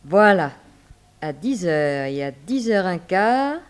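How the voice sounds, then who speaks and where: An actress playing an obliging telephone exchange clerk, polite and precise, in a 1960s French radio serial. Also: female voice, fairly low pitch, sing-song, takes it slowly, clean tone. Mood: helpful.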